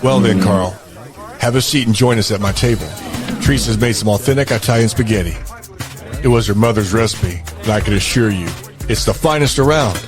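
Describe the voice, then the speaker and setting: A man playing a low-voiced, menacing gang boss. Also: brisk, music in the background, lightly acted. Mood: smug, in control. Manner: curt, commanding. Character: brutal male gang boss and enforcer, commanding, menacing. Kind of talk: audio drama acting.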